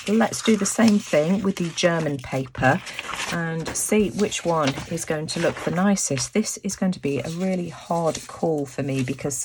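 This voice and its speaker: A woman with a croaky voice, narrating a casual craft tutorial as host, chatting and thinking aloud. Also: on the low side.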